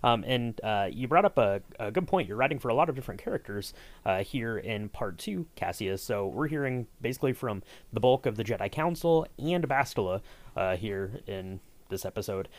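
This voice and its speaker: A man's voice: lowish voice, expressive, moderate pace, no room sound. A man hosting a conversational podcast interview, asking wordy, roundabout questions. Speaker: podcast host interviewing a writer.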